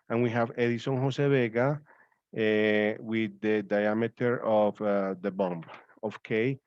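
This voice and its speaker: Male event host, unhurried and repetitive, informal, lo-fi close-sounding audio. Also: mid-range voice, no room sound. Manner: halting, repeats himself. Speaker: host of a student reading-aloud event. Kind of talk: informal online event hosting. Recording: dull, lo-fi online call audio.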